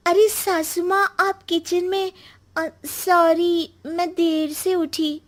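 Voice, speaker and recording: A woman playing a suspicious, sharp-tongued young daughter-in-law in a family moral story, sweet to elders and snide in asides. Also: extremely high pitch, flat delivery, takes it slowly, pitched above the performer's own voice. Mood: sweet, eager.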